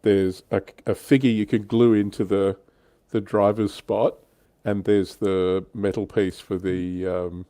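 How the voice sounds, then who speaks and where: Low, mellow-voiced man in casual chat. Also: flat delivery, a little slow, dry recording, studio quality. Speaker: host of a hobby livestream. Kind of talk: casual panel chat about tabletop wargame miniatures.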